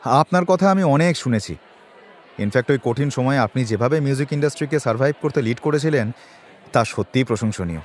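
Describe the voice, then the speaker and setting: A man acting a polite, flattering, modest businessman at a party in an audio drama. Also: medium pitch, a little fast, lightly acted. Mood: admiring, polite. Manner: deferential, polite. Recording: faint background noise.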